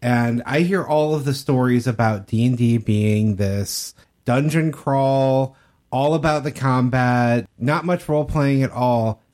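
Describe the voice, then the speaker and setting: A man's voice: mid-range voice, a little mellow. A man co-hosting a podcast, talking casually in a discussion. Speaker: podcast co-host.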